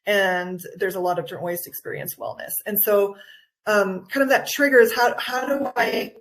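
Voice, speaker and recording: A woman's voice: mid-range voice, normal speed. American-accented woman explaining in an interview. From Guatemala. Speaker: nutritional anthropologist and wellness coach, podcast guest. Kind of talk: conversational interview answers.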